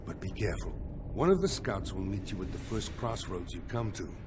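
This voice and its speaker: Older dwarf noble guy, measured, in charge, fantasy game, low voice, rumbly noise. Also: very animated. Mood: measured briefing. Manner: formal, measured, authoritative. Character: authoritative older dwarven lord, shrewd military planner. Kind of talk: video game voice acting. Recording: noisy rumbling ambience behind.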